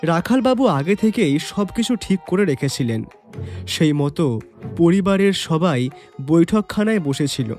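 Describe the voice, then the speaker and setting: Male narrator, detective's companion, highish voice, animated intonation, expressive, atmospheric, reflective storytelling. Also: measured pace, soft background music. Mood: expectant. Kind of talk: dramatised first-person story narration.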